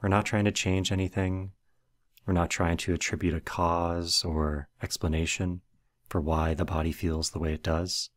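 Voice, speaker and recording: Guy with a low voice doing a scripted guided meditation, measured step by step instructions. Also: flat delivery.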